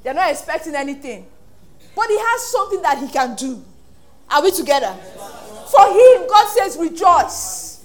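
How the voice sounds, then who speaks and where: A Nigerian woman pastor preaching an animated sermon, acting out voices and laughing often. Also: very high-pitched, moderate pace.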